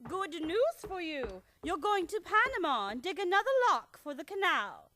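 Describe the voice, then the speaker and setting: An actress playing a sweet, genteel, unflappable elderly aunt in an old-time radio comedy, fond and matter-of-fact. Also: female voice, extremely high pitch, very animated, normal speed, bright, forceful tone, exaggerated voice. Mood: coyly pleased.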